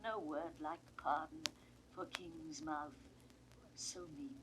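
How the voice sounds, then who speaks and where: A woman's voice: low voice, fairly even intonation, slow pace. A woman with a British RP accent playing a desperate, aging duchess in a Shakespearean audio drama, pleading in impassioned verse. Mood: coaxing. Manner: impassioned, sweeping verse delivery. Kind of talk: classical Shakespearean stage acting. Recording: vintage 1960s recording, faint noise.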